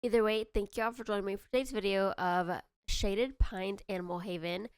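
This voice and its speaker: Young woman with a nasal cold, relaxed rambling game voice-over, smooth tone, dry booth-like sound. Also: mid-range voice, normal speed, no echo. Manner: rambling, self-correcting, chatty. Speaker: YouTube host. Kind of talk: casual game commentary voice-over.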